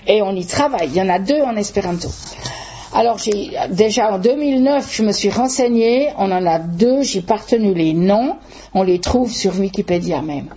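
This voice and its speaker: An older woman teaching at a public talk, explaining in a measured way and stretching words for emphasis, with a slightly husky voice. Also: on the high side, mellow, dull audio, slight background noise. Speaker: Esperanto teacher giving a talk. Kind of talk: public talk with audience questions.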